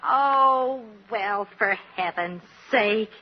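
An actress playing an anxious, practical middle-aged wife and mother in a 1940s radio sitcom, pleading and expressive. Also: fairly high pitch, drawn-out words. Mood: amazed. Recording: muffled 1940s radio, audience behind.

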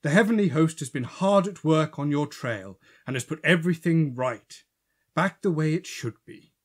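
A stern, righteous archangel, acted by a woman in a comic audio play. The husky voice sits slightly low yet moves with lively, emphatic swings as it reproves. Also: normal speed. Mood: solemn. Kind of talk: comic audio drama acting.

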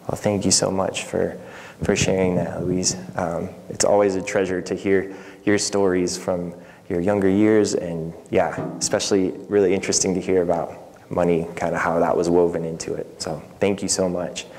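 Low-voiced man interviewing in church. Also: level tone, moderate pace, creaky, a little mellow. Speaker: pastor hosting an interview. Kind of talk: conversational interviewing. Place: indoor church sanctuary. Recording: reverberant hall.

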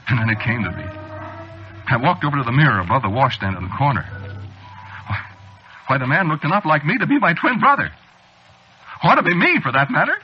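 An actor playing an anxious man losing his grip, narrating to himself in halting, restarting 1940s radio drama style on an old broadcast. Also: fairly high pitch, big pitch swings, husky, full character voice. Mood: uneasy. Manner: jittery, halting restarts, rising to alarm. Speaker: narrator and lead character. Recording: dull, faintly hissy old radio broadcast.

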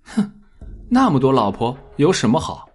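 A man's voice: medium pitch, sing-song, normal speed, husky. A man voicing a sullen, stubborn young boy in an audiobook, in a huffy, terse manner. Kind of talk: audiobook character acting.

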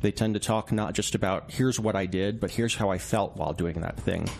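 A man's voice: sits low, brisk, a little mellow. A man speaking as a reporter being interviewed, reflective and conversational, explaining himself through small anecdotes and examples. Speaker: newspaper reporter, interview guest. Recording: clear background noise and ambience.

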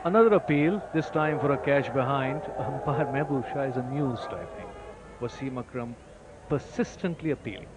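A man giving ball-by-ball cricket commentary, lifting his voice sharply when wickets fall. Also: fairly high pitch. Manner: excited rises on wickets, measured otherwise. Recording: noisy old broadcast tape.

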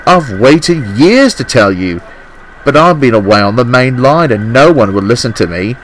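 A man's voice: medium pitch, lively intonation, moderate pace, a bit bright, a little hiss or hum, close to an everyday voice, rough recording. Man voicing a sad, apologetic old railway van. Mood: ashamed, earnest. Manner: hesitant, apologetic. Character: old repentant brake van, sad and timid. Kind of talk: children's storybook character voice.

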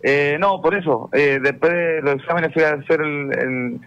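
Halting Chilean footballer, dull radio sound. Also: male voice, fairly high pitch, fairly bright tone. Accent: Chilean Spanish. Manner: halting, repeats words and says "claro" often. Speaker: football player guest.

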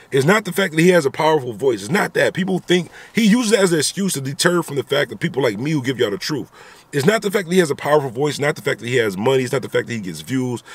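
A man's voice: medium pitch, husky, dry recording. A man in his thirties talking animatedly into a phone camera inside a car, giving his opinions in a vlog with repeated rhetorical asides. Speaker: vlogger. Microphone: phone camera at arm's length.

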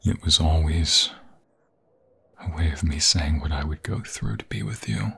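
A man playing a lovestruck, pleading vampire fiancé in an intimate romantic ASMR roleplay, breathy and husky, often slipping into whispers, with halting emotional delivery. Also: very deep voice, a bit bright, slight background noise, exaggerated voice. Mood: tender, intimate.

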